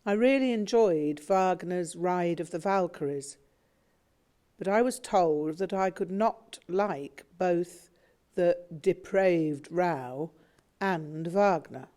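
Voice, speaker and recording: Slow, dark-voiced woman narrating. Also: on the low side. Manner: measured, unhurried, reflective. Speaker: audiobook narrator. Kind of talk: scripted memoir narration.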